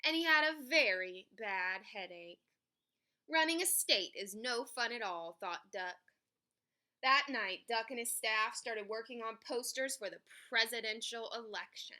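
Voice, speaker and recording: A woman reading a story aloud for children in an expressive, emphatic way. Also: on the high side, a little slow. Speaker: picture book reader.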